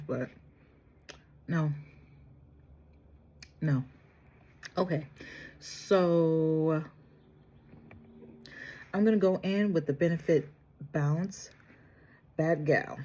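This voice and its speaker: A woman vlogger chatting casually through a makeup tutorial, soft-spoken and trying to project with a sore, strained throat. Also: deep voice. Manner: chatty, addresses viewers directly, trails off and restarts. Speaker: beauty vlogger.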